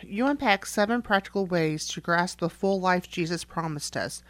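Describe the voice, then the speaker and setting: A woman's voice: fairly low pitch, moderate pace, a bit bright, no room sound. A woman hosting a radio interview, reading out prepared questions.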